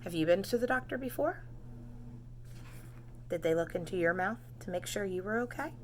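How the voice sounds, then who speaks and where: A woman teacher reading a storybook to preschoolers, expressive and dramatic, pausing for simple questions and explanations. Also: mid-range voice, slight background noise. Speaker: preschool read-aloud teacher. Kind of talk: children's storybook narration with asides and questions.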